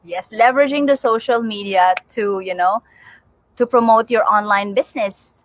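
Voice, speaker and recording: A woman with a Filipino accent co-hosts a casual livestream. Her voice sits fairly high and the sound is dry and close. She is chatty and keeps agreeing as she goes. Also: moderate pace, no room sound, low-quality recording. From Philippines. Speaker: co-host of a livestream.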